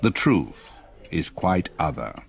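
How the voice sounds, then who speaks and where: An actor playing a haunted, reticent older English stepfather in a radio drama, husky, speaking formally and with measured care. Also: very deep voice, very animated, full character voice. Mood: brooding. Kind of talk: radio drama, first-person narration and dialogue.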